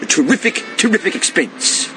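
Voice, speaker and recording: Snobby comic book nerd, sarcastic, swingy pitch, cartoon, music behind. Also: male voice, sing-song, moderate pace. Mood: smug, disdainful. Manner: pompous, sardonic.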